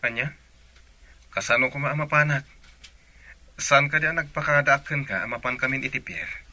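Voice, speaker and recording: A man's voice: medium pitch, moderate pace, sharp tone. An actor playing a young seaman, a boyfriend and son, in a radio drama with an Ilocano accent. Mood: indignant. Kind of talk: radio comedy-drama acting.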